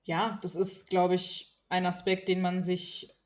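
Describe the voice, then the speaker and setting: A woman's voice: lowish voice, flat delivery, takes it slowly. A woman hosting a podcast interview in a casual, conversational style. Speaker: podcast host.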